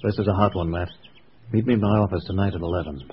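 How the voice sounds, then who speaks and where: An actor playing an undercover FBI agent posing as a street peanut vendor in 1950s radio drama, slangy and gruff. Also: male voice, deep voice, fast talker, lightly acted. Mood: brisk, businesslike. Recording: muffled, noisy old radio recording.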